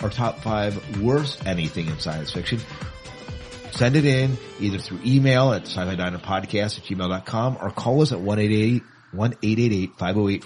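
A man's voice: lowish voice, darkish tone. A man hosting a podcast in a casual, chatty style. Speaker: podcast host.

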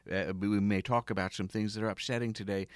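A man from outside Philadelphia hosting a podcast interview, speaking in a slow, soothing, deliberate way. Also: lowish voice, fairly even intonation, no room sound. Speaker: podcast host.

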